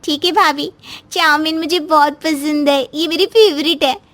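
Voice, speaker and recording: A woman puts on a sweet, innocent young sister-in-law for an audio moral tale. The voice sits very high and sounds childlike, with a simple, eager delivery. The sound is close and dry. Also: fairly even intonation, unhurried, a bit bright, no room sound. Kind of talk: children's moral story voice acting.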